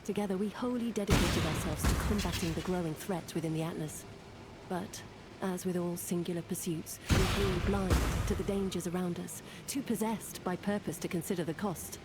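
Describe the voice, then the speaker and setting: An actress playing a weary, regretful woman in a video game, narrating in a solemn, measured, reflective way. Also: on the low side, steady tone, very noisy, lightly acted. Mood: mournful, regretful. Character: weary, regretful woman, solemn fantasy mapmaker recalling loss. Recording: loud cinematic music behind the voice.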